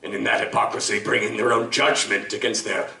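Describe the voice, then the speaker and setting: Savage, gloating male fiend for radio-style drama: gravelly, grandiloquent menace, forceful edge, recorded in a roomy live space. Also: on the low side, level tone, a little fast, full character voice. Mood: menacing, philosophical. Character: savage, articulate monstrous male killer, gloating and contemptuous. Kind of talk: live audio drama acting. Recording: roomy live stage, distant mic.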